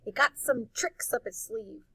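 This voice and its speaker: A woman's voice: a little high, unhurried, gravelly, sharp tone, slightly rough, no room sound, full character voice, poor audio. A woman voicing a scared, tearful young boy in a storybook, plaintive and fretful. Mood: wary.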